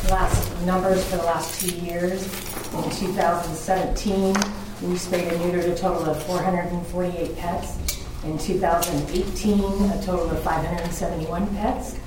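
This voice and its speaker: A woman with a loud voice, introducing herself earnestly as a new commissioner at a public meeting. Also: on the low side. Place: council chamber. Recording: echoey room, far from the mic, noisy.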